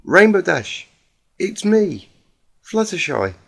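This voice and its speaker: A man plays a gentle young pegasus mare in a storybook reading. She sounds worried and tentative, with a raised pitch. Her words come haltingly, as an earnest plea. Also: very animated, creaky, exaggerated voice. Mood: worried, imploring.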